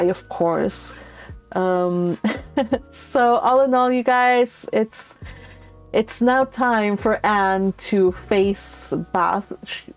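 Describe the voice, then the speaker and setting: A woman hosts a read-along with music behind her voice. She sits at a middle pitch for a woman. Her delivery suits reading aloud and informal commentary addressed straight to her listeners. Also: darkish tone. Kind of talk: storybook narration and casual livestream chat.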